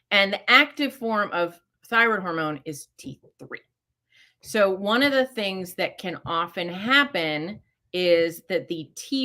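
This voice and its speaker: A woman's voice: fairly low pitch, pushed and bright. A middle-aged woman hosting a live question-and-answer session, talking casually with many fillers and self-corrections. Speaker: host answering listener questions.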